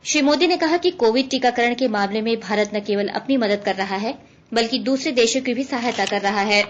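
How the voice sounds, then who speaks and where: A woman reads a formal radio news bulletin in a fairly high voice. The sound is slightly dull and band-limited, and the delivery is scripted throughout. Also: a little fast. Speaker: news reader.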